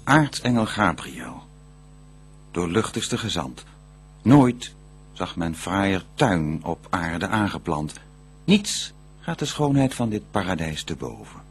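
An actor playing a grave, admiring archangel who declaims verse solemnly and deliberately in a radio play. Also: male voice, deep voice, big pitch swings, husky, heavily acted. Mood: awed, solemn.

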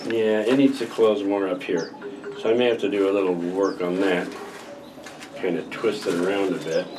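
A man's voice: normal speed, background noise. An older man chatting casually to camera as a vlogger, rambling and wry while working on a prop. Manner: rambling, wry, lively pitch. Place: small cluttered room indoors, then patio workshop.

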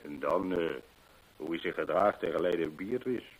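An actor playing a talkative, anxious horse trainer in a Dutch radio drama, with a husky, gossipy way of telling things. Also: male voice, low-pitched, normal speed, poor audio. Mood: worried, confiding. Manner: gossipy, emphatic storytelling.

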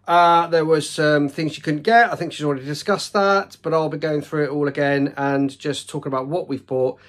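A man in his forties speaks in a fairly high male voice. He presents casually to camera, in the manner of a family vlog, from his kitchen at home. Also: fairly even intonation, normal speed. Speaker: family vlogger.

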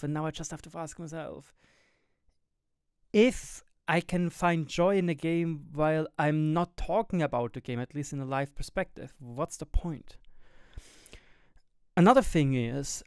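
A young Swiss man with a German-tinged accent speaks close to a boom mic in a small home gaming room, his slightly high, smooth voice rising and falling in a sing-song way. He talks candidly and thoughtfully, often pausing to hunt for the right English word. The sound is dry and close. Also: no echo. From Switzerland. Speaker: gaming YouTuber and streamer. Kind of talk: reflective personal monologue to camera. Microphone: studio mic on boom arm close to mouth, wearing headset.

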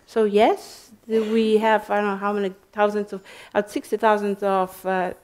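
A woman answering as a panelist in a library discussion, thoughtful and hesitant, with many pauses and restarts. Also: mid-range voice, little pitch movement, a little mellow. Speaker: panelist, researcher. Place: library event room.

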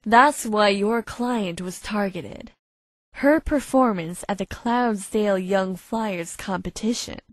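A woman playing a cold, calculating female unicorn blackmailer in a visual novel fan dub, speaking in a smug, measured, threatening way. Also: mid-range voice, no room sound, lightly acted. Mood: smug, explaining. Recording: faint music behind.

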